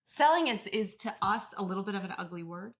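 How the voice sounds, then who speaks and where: A woman playing a chipper, gently condescending wellness influencer in an improvised comedy interview. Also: mid-range voice, animated, fast. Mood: sanctimonious. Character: chipper, sanctimonious female wellness influencer peddling quack products.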